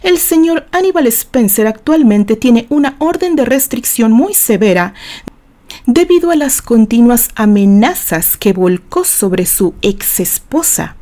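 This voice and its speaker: An assured defense attorney read by a woman addresses the court in a soft, mellow tone that sits fairly high. The delivery is formal and rhetorical, with pointed emphasis, in the manner of a dramatized novel. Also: animated, measured pace.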